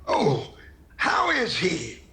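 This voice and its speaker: Very high, creaky old vault guard. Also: male voice, wildly swooping pitch, very slow, sharp tone, reverberant, exaggerated voice. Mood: naive, earnest. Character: meek, outdated old male vault guard. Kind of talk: 1940s radio comedy acting.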